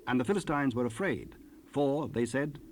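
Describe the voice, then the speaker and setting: Casting: male narrator for dramatized scripture, medium pitch, slightly noisy tape rip. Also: lively intonation, normal speed. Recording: noisy raw cassette rip.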